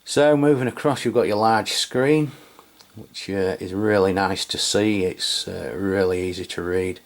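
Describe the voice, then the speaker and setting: A man's voice: deep voice, measured pace. A man from England giving a casual, hesitant product review with many fillers. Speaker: radio reviewer. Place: home radio room.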